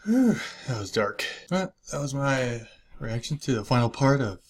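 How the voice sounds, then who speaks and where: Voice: medium pitch, very animated, normal speed. A woman hosting a reaction video, giving informal muttered asides and casual commentary.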